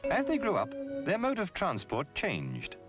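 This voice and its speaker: A British man narrating a public information film in an instructive, measured storytelling manner. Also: high-pitched, wildly swooping pitch, over loud music. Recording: noisy old film soundtrack, vehicle sounds.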